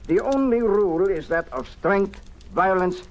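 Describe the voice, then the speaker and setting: An actor playing a courteous, grave Foreign Legion colonel with a French accent and a formal, emphatic delivery in a radio drama. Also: male voice, high-pitched, lively intonation, a little slow. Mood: grave, warning. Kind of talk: old-time radio drama acting. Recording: noisy old radio recording.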